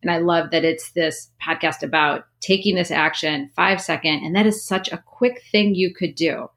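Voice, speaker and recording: Low-voiced upbeat woman hosting a podcast. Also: moderate pace, fairly bright tone. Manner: upbeat, laughs often. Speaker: podcast host. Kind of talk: casual interview talk.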